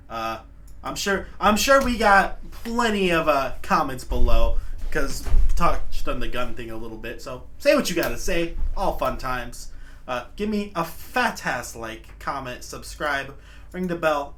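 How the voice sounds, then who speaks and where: A man's voice: a little high, a bit bright. Casual American man reacting with slang. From United States. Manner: loose, slangy, swears often, laughs a lot. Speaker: reaction video host.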